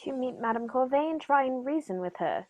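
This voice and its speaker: A woman playing a courteous, composed English male detective with a measured, formal manner in a radio play. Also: sits high, expressive, a little mellow. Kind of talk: 1940s radio play acting.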